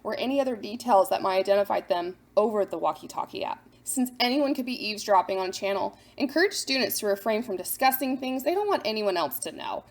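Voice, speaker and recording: A woman hosting a scripted explainer for parents, instructive, posing questions and then answering them. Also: fairly high pitch. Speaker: host of a parent guide to apps.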